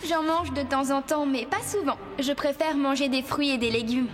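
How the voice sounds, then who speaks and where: Lady doing a really high little girl cartoon voice, friendly, curious, long echo around it. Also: moderate pace, faint music behind, lightly acted. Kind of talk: French animated series dialogue.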